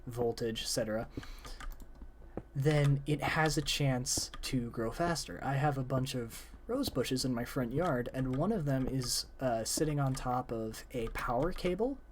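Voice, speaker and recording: Young guy rambling about ideas, lots of likes, voice going hoarse, keyboard clicking faintly behind. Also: mid-range voice, measured pace, a little hiss or hum. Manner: rambling, many "like" fillers, animated. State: losing voice, voice cracking. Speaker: friend discussing story ideas. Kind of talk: casual chat while gaming.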